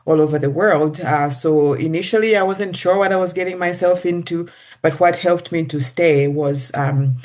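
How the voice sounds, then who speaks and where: A middle-aged guest from Zambia, answering interview questions in a hesitant way with many pauses and restarts. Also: mid-range voice, darkish tone. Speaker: executive director, guest.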